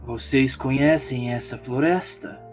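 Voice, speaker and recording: A man's voice: mid-range voice, steady tone, unhurried, soft background music, natural voice. A man playing a cautious, courteous adventuring companion in a tabletop game. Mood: wary.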